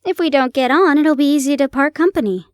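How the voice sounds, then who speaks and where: A woman voicing a weary male army doctor who speaks in a measured, polite and earnest way. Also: high voice, no room sound, studio quality, lightly acted.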